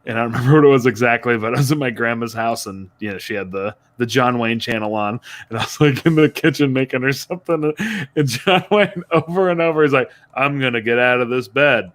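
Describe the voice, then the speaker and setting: A man's voice: mid-range voice, fast. A man with a mid-range voice talks casually as a podcast co-host about films. He speaks conversationally and often breaks into chuckles mid-sentence. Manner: chuckles often while talking.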